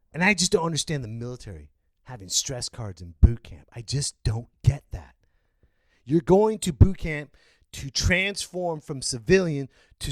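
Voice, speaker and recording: Podcast host, male, animated rant voice, swings wide in pitch, close dry sound. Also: no echo. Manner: loud, animated ranting with sudden yells. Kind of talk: opinion rant.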